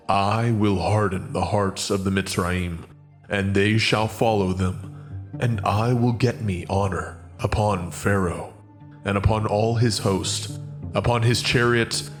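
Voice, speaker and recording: A man's voice: fairly low pitch, steady tone. Man voicing a solemn, commanding God over music. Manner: slow, solemn, declaratory. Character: God, solemn commanding male deity of scripture. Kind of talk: dramatized scripture reading.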